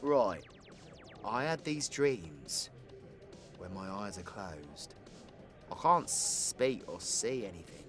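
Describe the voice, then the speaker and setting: A British man voicing a cocky, foul-mouthed supervillain in an audio roleplay, with a crude, theatrical and menacing manner. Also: mid-range voice. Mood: wistful, subdued. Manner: swaggering, crude, theatrical, dropping into menacing whispers. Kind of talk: ASMR audio roleplay acting.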